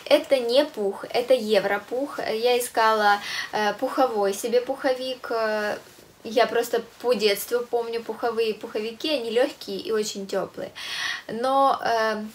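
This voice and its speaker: Young woman, stuffy nose from a cold, fairly high voice, chatty vlog, faint hiss, small room. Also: steady tone, unhurried. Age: young adult. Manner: chatty, enthusiastic, frequent hesitation sounds. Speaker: fashion vlogger. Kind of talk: casual chat to camera. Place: small room with a clothes rack, indoors.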